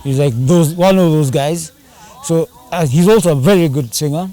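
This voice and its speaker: A man's voice: a little high, normal speed, warm tone. A man chatting casually in an interview, rambling and slipping into Swahili slang. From Kenya. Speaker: band singer and drummer.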